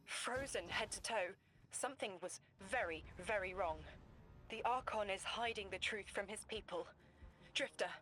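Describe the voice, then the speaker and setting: An actress playing a solemn, haunted messenger in a video game drama, speaking in measured, cryptic sentences. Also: female voice, fairly high pitch, sharp tone.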